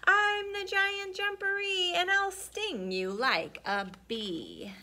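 A woman voicing a hidden giant creature that boasts loudly and slowly about how scary it is. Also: expressive, full character voice. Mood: booming threat. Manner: booming, slow drawn-out threats.

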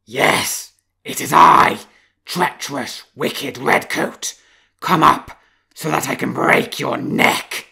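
A man voicing a huge furious grey wolf in a storybook reading, bellowing slow, gravelly threats. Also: mid-range voice, steady tone, full character voice. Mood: threatening. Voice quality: gravelly, shouting.

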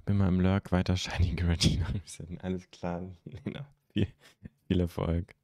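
A young man from Germany hosting a live stream, chatting casually and haltingly as he answers viewer comments into a close microphone. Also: low voice, little pitch movement, a little mellow, no room sound. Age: young adult. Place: indoor streaming room at home. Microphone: close microphone on a stand.

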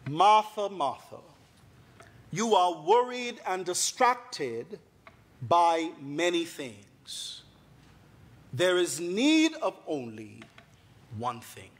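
A husky-voiced Bahamian man preaches from a church pulpit, taking his time over each phrase. His pitch sits a little high for a man, and his delivery is genial but climbs into emphatic preaching. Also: a bit bright. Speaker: guest priest. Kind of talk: sermon. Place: large church sanctuary. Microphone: pulpit mic.

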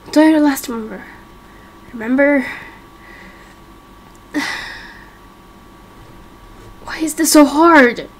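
A woman playing a confused, frightened young amnesiac in a game roleplay, speaking in halting fragments, with some background noise. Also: high voice. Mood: anxious, confused. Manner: halting, fragmented, self-questioning. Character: confused, frightened young amnesiac part-creature, vowing peace. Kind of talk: amateur game roleplay acting.

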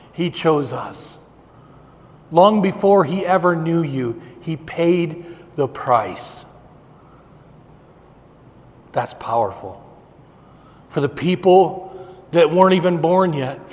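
A middle-aged male pastor preaching a sermon in a church sanctuary, building emphasis with slow, repeated phrases. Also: a little high, a little mellow. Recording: long reverb of a church hall.

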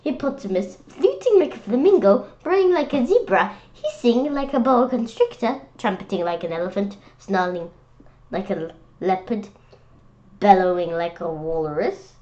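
A woman reading a picture book aloud for children in an expressive, playful way, sometimes halting on words. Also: fairly high pitch. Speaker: children's read-aloud host. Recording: dull, lo-fi sound.

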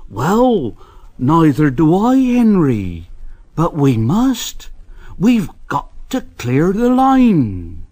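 A man voicing a kindly male engine driver who coaxes and reassures gently. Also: fairly high pitch, wildly swooping pitch, drawn-out words, dry recording, pitched above the performer's own voice, heavily acted. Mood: coaxing. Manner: coaxing, reassuring.

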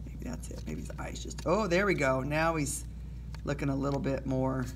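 A woman's voice: very deep voice, big pitch swings, normal speed, slight background noise. Chatty woman teacher demonstrating crafts. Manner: chatty, self-deprecating, frequent okays and ums. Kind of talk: casual art demonstration for students. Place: indoors at a table, recording her hands from above.

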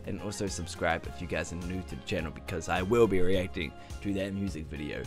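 A young man with a husky morning voice, hosting an excited, slangy music reaction vlog from a small bedroom on a studio mic. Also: sits low, a little fast. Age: young adult. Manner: excitable, animated, exclamatory, slangy. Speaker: reaction channel host. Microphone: studio mic in front, headphones on.

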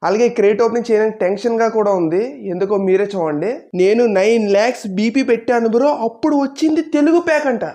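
Fast-talking woman, casual gaming host. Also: a little high, warm tone. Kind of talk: casual gameplay commentary to viewers.

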